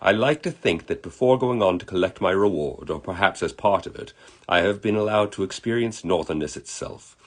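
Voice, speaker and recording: Storybook role: man playing courteous British dead gentleman, wistful and musing, slightly rough-edged voice. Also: very deep voice. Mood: musing, wondering. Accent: British, put on. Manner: reflective, courteous, eloquent. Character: genteel, wistful British dead man, friendly spirit in human body.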